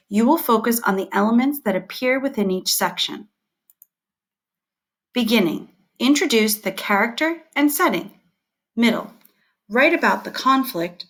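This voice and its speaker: A woman teacher reading scripted educational material aloud in a clear, deliberate and expressive way. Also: fairly high pitch.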